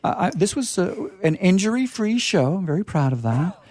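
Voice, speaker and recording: A man's voice: high-pitched, very animated, normal speed, a little mellow. A middle-aged man hosting a radio theater show, telling stories casually and theatrically, repeating phrases for emphasis. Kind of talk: casual chat and storytelling.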